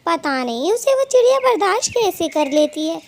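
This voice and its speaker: High-pitched scheming cartoon bird wife. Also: female voice, very animated, moderate pace, exaggerated voice. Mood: spiteful, scolding. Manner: dramatic, exclamatory, scolding and fawning. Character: scheming, gossipy female bird thief, doting devoted wife. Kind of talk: Hindi children's cartoon dialogue.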